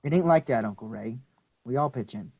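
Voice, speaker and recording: A man's voice: mid-range voice, expressive, brisk, gravelly, darkish tone, lo-fi sound. A man playing an earnest, polite teenage nephew. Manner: polite, earnest, a little unsure. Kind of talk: staged play reading.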